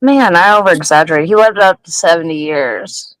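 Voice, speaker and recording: A woman's voice: lowish voice, brisk, a bit bright, no echo, rough recording. A woman in casual conversation, speaking informally and swearing freely. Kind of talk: casual chat.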